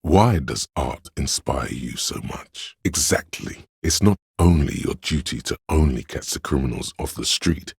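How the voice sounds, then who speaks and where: A man playing a cerebral, pretentious inner voice of artistic imagination in a game, narrating theatrically and deliberately in a gravelly, husky voice. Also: extremely low pitch, lively intonation, dry recording, studio quality, heavily acted. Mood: grand, exhorting. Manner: pompous, theatrical, deliberate diction. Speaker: game voice actor. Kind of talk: dramatic scripted game narration.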